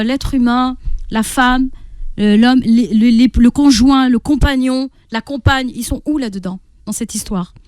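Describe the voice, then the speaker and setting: A woman with a fairly high voice speaks as a coach on a radio programme. She explains in a didactic way, checking in with short questions and voicing everyday thoughts as examples. The sound is dry and close, as in a studio. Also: darkish tone, dry recording. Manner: didactic, often checks in with rhetorical questions and acts out inner speech. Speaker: guest parenting coach and therapist.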